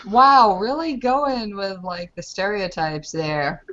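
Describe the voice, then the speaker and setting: A woman's voice: mid-range voice, animated, slow, pushed and bright. A woman bantering casually during a tabletop roleplaying game. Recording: dull lo-fi recording, faint noise.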